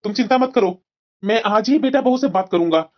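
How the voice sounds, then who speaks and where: A woman's voice: mid-range voice, fairly quick, highs cut off, natural voice. A woman voicing a protective elderly husband in a family drama, speaking earnestly and consolingly. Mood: reassuring, indignant. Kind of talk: Hindi family drama story dialogue.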